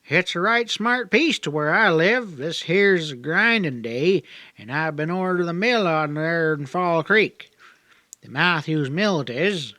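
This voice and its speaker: A man's voice: on the high side, lively intonation, a little slow, sharp tone, no echo, pitched above the performer's own voice. A man voicing a talkative, naive young backwoods native in an audiobook, with a rural Southern accent and a folksy, rambling drawl. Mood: chatty, curious. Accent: rural Southern hillbilly. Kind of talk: audiobook character dialogue.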